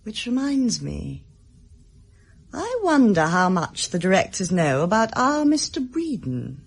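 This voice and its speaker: An actress playing a shrewd, cynical office worker in a radio drama, with an English accent and a dry, witty manner. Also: female voice, medium pitch, sing-song, unhurried, creaky, slightly rough, full character voice. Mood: sardonic. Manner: dry, sardonic.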